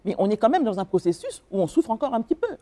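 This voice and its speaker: A woman's voice: medium pitch, very animated, rapid-fire, dark tone. A woman from the Republic of the Congo, speaking as an author and guest in a radio interview, earnest and emphatic, with frequent hesitations.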